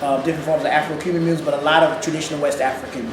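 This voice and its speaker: A man's voice: on the high side, racing. A man born in Ghana and raised in St. Louis with a St. Louis accent, a percussionist chatting animatedly as an interview guest. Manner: animated, laughing, repeats words. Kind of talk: casual interview. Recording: echoey room with loud background noise.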